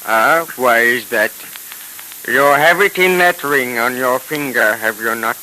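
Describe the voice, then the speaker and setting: A hissy 1930s radio recording carries a solemn cult emissary. He picks out each formal, stilted phrase very slowly. The tone is strikingly sharp and forceful. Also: medium pitch, poor audio. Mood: suspicious, insistent. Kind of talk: 1930s radio serial acting.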